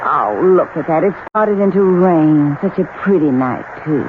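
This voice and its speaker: An actress playing a sugary, manipulative young Southern belle bride in a radio drama, cooing and wheedling with an accent of the American South. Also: female voice, deep voice, expressive, measured pace, background noise. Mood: pouty, cooing. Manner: cooing, wheedling, drawling endearments. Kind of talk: old-time radio drama acting. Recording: tinny band-limited vintage radio, faint music.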